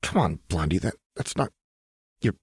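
A man's voice: sits low, big pitch swings, husky, no echo, clean studio sound, heavily acted. A man voicing a lanky, manic young woman, teasing and awkward with affection, in a story reading. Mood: flustered, tender. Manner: teasing, halting when flustered.

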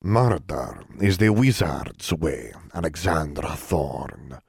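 A man voicing a calm, sly elf leader in an audiobook, speaking slowly and formally in a deep, breathy voice. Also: dry recording, studio quality, exaggerated voice. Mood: cold. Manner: slow, measured and formal.

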